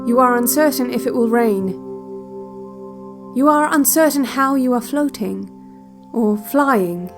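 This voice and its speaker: A woman playing the calm narrator of a relaxation cassette in an audio drama, giving measured, deliberate guided visualization over music. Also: on the high side. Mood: calm, hypnotic. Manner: measured, deliberate, instructional, with short spaced sentences. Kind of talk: slow guided visualization, scripted second-person narration. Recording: music bed under the voice.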